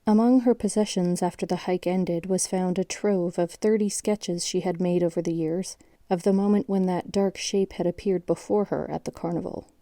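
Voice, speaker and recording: An actress plays a detached case-file narrator in an audio drama. Her female voice is slightly low and extremely mellow, recorded close and dry. The delivery is measured, sober and factual. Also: fairly even intonation, no echo, close to an everyday voice. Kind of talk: scripted documentary-like narration.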